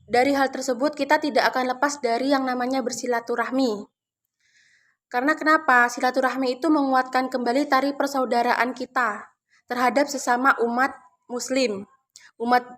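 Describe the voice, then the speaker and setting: High-pitched young woman preaching. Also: fairly bright tone. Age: young adult. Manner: halting, conversational delivery with repeated words. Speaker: student giving a short religious talk. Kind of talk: short Islamic sermon to a gathering.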